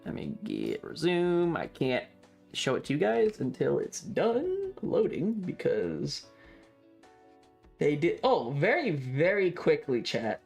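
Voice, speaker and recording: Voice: mid-range voice, huge pitch swings, slow pace, creaky, darkish tone, husky. A streamer chatting casually in a rambling, wry and jokey, mildly crude way. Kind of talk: casual livestream chat. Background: background music.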